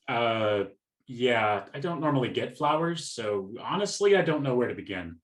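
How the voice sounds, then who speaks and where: An awkward, lovelorn male customer played by an actor in a radio play. He is hesitant and self-deprecating, with a very lively, swinging pitch. The recording is roomy and echoing. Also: normal speed, lightly acted.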